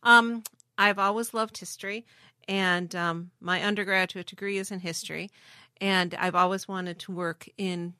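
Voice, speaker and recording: Middle-aged woman, bright clear tone, dry sound, methodical guide. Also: medium pitch, fairly even intonation, moderate pace, dry recording. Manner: informative, methodical, with fillers. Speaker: museum director, guest. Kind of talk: explanatory interview talk. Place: submarine galley.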